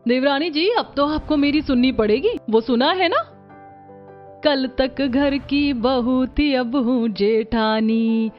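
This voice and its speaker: A woman's voice: high voice, slow. A woman voicing a playfully bossy, friendly elder sister-in-law in a cartoon. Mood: teasing, bossy. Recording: dull lo-fi track with music.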